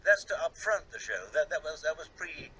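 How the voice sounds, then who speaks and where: An older man reminiscing in an interview, halting and often repeating words. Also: fairly high pitch, animated, bright, forceful tone, a little hiss or hum. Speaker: television director being interviewed.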